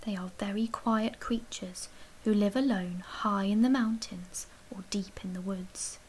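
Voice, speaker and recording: A woman reading a children's picture book aloud as a storyteller, expressively, with dramatic emphasis and hushed, breathy dips. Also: a little high, poor audio. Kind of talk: expressive read-aloud bedtime story narration.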